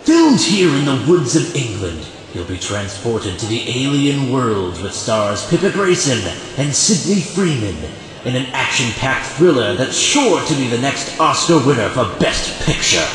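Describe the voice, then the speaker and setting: A man's voice: very animated, unhurried. A man playing a showy, grandiose film premiere announcer addressing the public in an audio drama. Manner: grandiose, theatrical. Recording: booming echoey PA, loud noisy crowd.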